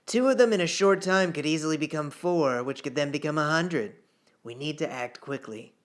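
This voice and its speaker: A man's voice: fairly high pitch, normal speed, lightly acted. A man reading the part of a stern, towering military commander in a fantasy story. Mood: urgent.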